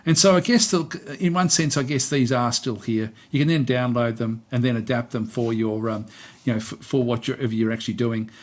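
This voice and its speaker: A man with a mid-pitched voice presents a software walkthrough in an informal, off-the-cuff way. He rambles and hesitates, circling back over the same points as he explains each step. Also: fairly even intonation, moderate pace. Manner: rambling, hesitant, repeats himself. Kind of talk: casual unscripted screen-recorded tutorial and review.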